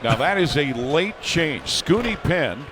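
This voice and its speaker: A man announcing a basketball broadcast in a measured, informative way, speaking into a headset mic. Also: a little high, sing-song, background noise. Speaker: play-by-play announcer. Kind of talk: broadcast play-by-play.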